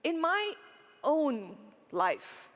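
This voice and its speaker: A woman's voice: high-pitched, very animated, extremely slow. Woman giving a keynote in a reverberant hall. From Romania. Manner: asks the audience rhetorical questions and answers them; earnest, with frequent fillers and restarts. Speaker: keynote speaker. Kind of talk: conference keynote talk. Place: conference stage in a large hall. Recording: long hall reverb on stage.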